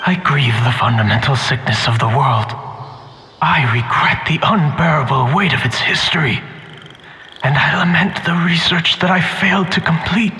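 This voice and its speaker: An actor playing a grave, despairing male scholar in a game, speaking in a formal, measured, grandiloquent way. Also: a little high, sharp tone, a little hiss or hum, rough recording. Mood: solemn, resolute. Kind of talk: dramatic game voice acting. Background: faint background music.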